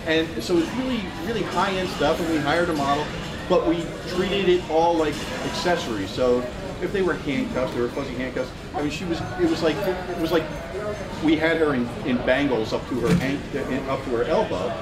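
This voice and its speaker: Animated man telling funny stories. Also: a little high, normal speed. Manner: self-deprecating, animated, laughs often. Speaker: guest speaker. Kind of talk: casual storytelling talk. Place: meetup room in a store, in front of a screen. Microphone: far camera. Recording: echoey room with loud ambience.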